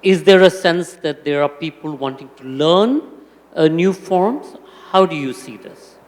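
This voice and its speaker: A man hosts a stage conversation, putting measured questions to his guest. His voice sits a little high for a man, and it carries a long reverb from the hall. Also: expressive. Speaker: interviewer.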